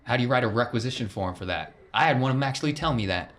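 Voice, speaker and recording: A man's voice: mid-range voice, animated, rapid-fire, a bit bright, natural voice. A man playing a weary, bitter crime boss who talks in a blunt, folksy, dismissive way in a tabletop game.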